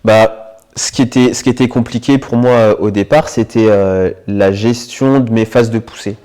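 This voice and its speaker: A man in his twenties from the Paris region, giving a reflective, hesitant personal testimony in an interview, with frequent filler pauses. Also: fairly low pitch, steady tone, dark tone, clear, resonant tone, soft background music. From Paris region, France. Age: 20s. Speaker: interviewee sharing his experience with illness.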